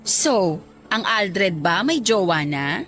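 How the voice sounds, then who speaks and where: Bold nosy filipina bestie, radio drama, animated and flirty, bit high, faint music under. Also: female voice, slow pace. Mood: flirty teasing.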